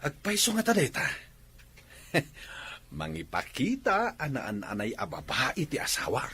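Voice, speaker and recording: Radio soap role: chatty young Ilocano Filipino husband, husky, with lively swings in pitch. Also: male voice, a little slow, heavily acted. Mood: chummy. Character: talkative expectant young husband confiding in his buddy. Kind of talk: radio drama acting.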